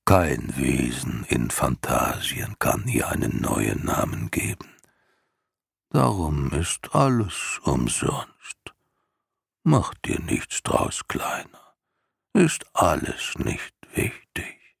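A man voicing an ancient, huge, weary female turtle in an audiobook, with a gurgling, breathy, wheezing voice and a listless, drawn-out, dismissive delivery. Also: a bass voice, sing-song, no echo, studio quality, exaggerated voice. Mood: weary, indifferent.

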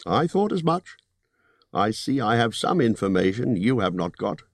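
Guy doing a high squeaky fussy hobbit, very lively, dry close sound. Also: normal speed, dry recording. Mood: persuasive. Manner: businesslike, squeaky, anxious. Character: fussy, polite male hobbit burglar.

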